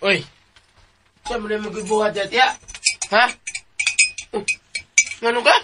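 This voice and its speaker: A man's voice: sits high, steady tone, takes it slowly, heavy background noise. A man with a Filipino accent playing a clownish, teasing, boisterous uncle in a radio drama. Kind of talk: Philippine radio drama acting.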